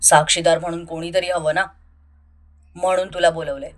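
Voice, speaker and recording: A woman's voice: fairly low pitch, flat delivery, moderate pace, pitched below the performer's own voice, close to an everyday voice. A woman voicing a cool, domineering young groom in an audio love story, clipped and self-assured. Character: cool, domineering young man set on his wedding.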